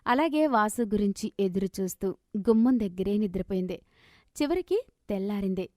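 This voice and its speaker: Woman narrating a kids moral story, dramatic delivery, soft mellow voice, medium pitch, no room echo. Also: lively intonation, moderate pace, studio quality.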